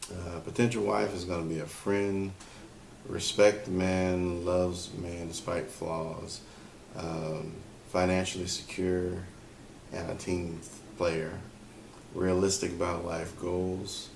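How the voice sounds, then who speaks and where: Deep, flat-voiced man in an echoey room. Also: creaky. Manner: unscripted, thinking aloud with many fillers. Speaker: podcast host. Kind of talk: solo relationship advice talk. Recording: echoey room with faint ambient noise.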